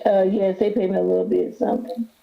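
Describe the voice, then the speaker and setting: A woman in her fifties calling in to a talk show, hesitant and soft-spoken, often asking to have questions repeated. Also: medium pitch, flat delivery, fast, gravelly, a little hiss or hum. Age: 50s. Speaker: caller. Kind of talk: phone call to a talk show. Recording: dull phone line. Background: children.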